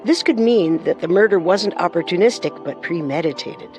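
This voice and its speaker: A woman co-hosting a scripted true-crime program, speaking in a serious, deliberate way. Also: on the low side, animated, music in the background.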